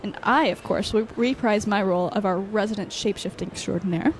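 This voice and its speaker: A woman's voice: mid-range voice, close to an everyday voice. Woman acting breezy young college woman, noisy recording. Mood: teasing, playful. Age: young adult.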